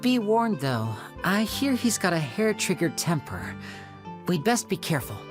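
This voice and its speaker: A man's voice: high-pitched, big pitch swings, unhurried, over music. An actor playing a polite, composed young male stage magician in a fantasy game, speaking in a courteous, theatrical way. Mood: explanatory, confiding. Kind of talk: English-dubbed fantasy game dialogue.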